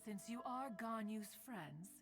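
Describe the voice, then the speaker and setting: A woman's voice: a little high, expressive, unhurried. Ancient proud immortal guardian lady in a game, fancy archaic talk, motherly, music behind her. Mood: lofty, amused. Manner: archaic, formal, lofty phrasing. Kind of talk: video game voice acting.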